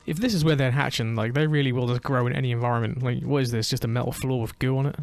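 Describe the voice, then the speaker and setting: A man giving casual gameplay commentary as a let's play host, with a relaxed, quick, slightly mumbly way of talking. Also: medium pitch, vocal fry.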